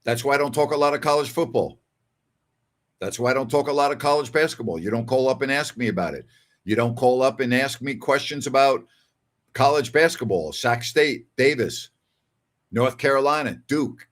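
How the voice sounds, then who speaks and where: An older man hosting a casual sports call-in show, talking in a conversational, emphatic way and repeating phrases for emphasis. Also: medium pitch. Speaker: sports talk show host. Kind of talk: casual call-in show chat reading listener messages.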